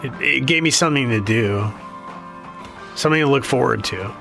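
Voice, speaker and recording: An actor playing a jaded, guarded, grieving younger brother in an audio drama, speaking haltingly and self-deprecatingly. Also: male voice, mid-range voice, gravelly, bright, forceful tone, soft background music. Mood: guarded, reflective. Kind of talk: naturalistic audio drama dialogue.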